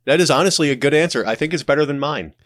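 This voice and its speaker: A man's voice: a little high, rapid-fire, fairly bright tone, no echo, clean studio sound. A middle-aged man chatting casually as a podcast guest, agreeable, chipping in with short affirmations. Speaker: podcast guest, audio drama creator.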